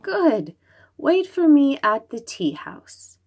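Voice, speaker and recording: A woman's voice: high voice, sing-song, slow pace, darkish tone, voice pushed up, full character voice. A woman voicing a clever, bold little girl in a children's storybook, calling out commands. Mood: confident. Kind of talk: children's storybook read-aloud.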